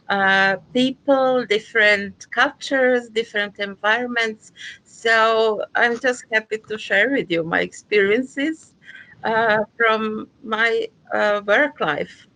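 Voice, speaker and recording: A woman consultant introducing herself on a video-call panel, halting with many fillers. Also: medium pitch, sharp tone, poor audio.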